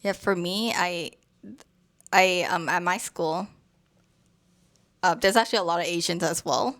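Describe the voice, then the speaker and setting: Soft-spoken young woman, gravelly low voice. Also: unhurried. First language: Cantonese. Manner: soft, hesitant storytelling with many fillers. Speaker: co-host. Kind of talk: casual chat.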